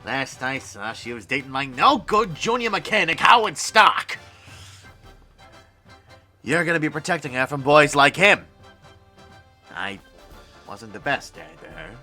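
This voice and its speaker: An excitable, fatherly inventor in a cinematic audio drama. His voice is sharp and bright, leaping in pitch as he chatters and exclaims, and slowing at tender moments. Music plays underneath. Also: heavily acted. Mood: fond, wistful.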